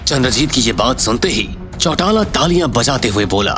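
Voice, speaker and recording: A man's voice: medium pitch, lively intonation, moderate pace, music in the background. A man narrating an audio story in a scripted, dramatic style.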